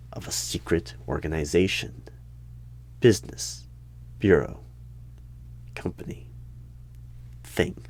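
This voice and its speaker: A man in an ASMR roleplay as the stern hiring manager of a secret bureau, with deadpan questioning that breaks into sudden loud bursts and whispers. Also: sits low. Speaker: interviewer in a roleplay.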